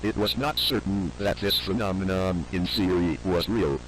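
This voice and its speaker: A man's voice: deep voice, normal speed, fairly bright tone, a little hiss or hum, poor audio. A man playing a grave government announcer reading a scripted emergency alert in a formal, instructional way.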